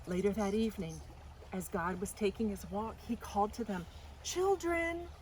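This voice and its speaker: A woman reading a children's story aloud as a storytime host, with deliberate, dramatic expression. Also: mid-range voice, fairly even intonation, darkish tone, low-quality recording. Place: outdoors in a garden. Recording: faint outdoor noise, birds or animals. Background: faint outdoor ambience.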